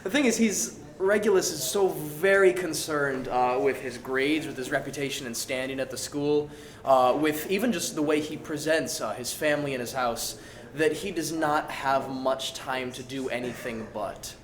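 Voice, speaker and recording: A man's voice: mid-range voice, moderate pace. A man in a casual group Q&A, talking as an actor answering fan questions in a jokey, sometimes hesitant way. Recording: roomy echo, faint background noise.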